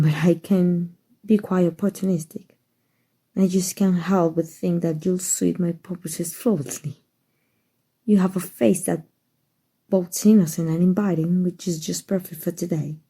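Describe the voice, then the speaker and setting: Woman doing a kind noble vampire lady, asmr roleplay, very dark mellow voice, soft n breathy, takes her time. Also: fairly low pitch. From Mexico. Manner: gentle, unhurried, doting. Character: kind, doting, aristocratic female vampire owner. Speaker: voice actor.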